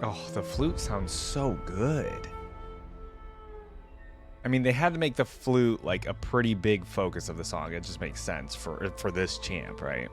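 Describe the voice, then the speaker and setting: A man's voice: deep voice, animated, measured pace. A man giving a casual, upbeat reaction commentary, informal and thinking aloud as he goes. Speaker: reaction video host. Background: faint music.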